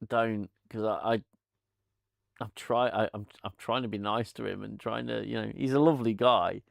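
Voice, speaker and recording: A man chats loosely through a live stream. His voice sits a little low, and he rambles and restarts often. The lavalier sound is close and dry, with no room. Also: fairly even intonation, moderate pace, gravelly, no echo. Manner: rambling, chatty, frequent restarts and laughter. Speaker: channel host. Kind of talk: casual live-stream chat. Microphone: lavalier mic.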